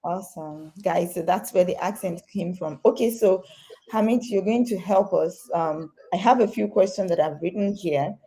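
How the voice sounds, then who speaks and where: Mellow low-voiced woman host. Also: moderate pace, poor audio. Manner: addresses viewers as "guys", frequent "um" fillers. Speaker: real estate host. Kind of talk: interview hosting.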